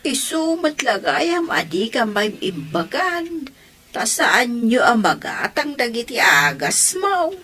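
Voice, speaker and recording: A woman's voice: a little high, sing-song, slow pace, bright, forceful tone, breathy edge, full character voice. A woman voicing a mother who scolds her sick daughter. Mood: scolding.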